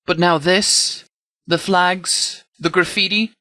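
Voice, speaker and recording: A man's voice: high voice, fairly even intonation, takes it slowly, sharp tone, no room sound, studio quality, heavily acted. A man playing a stern, blunt, battle-weary military leader in a video game, with a firm, clipped, authoritative delivery. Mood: stern, hostile. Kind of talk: video game dialogue acting.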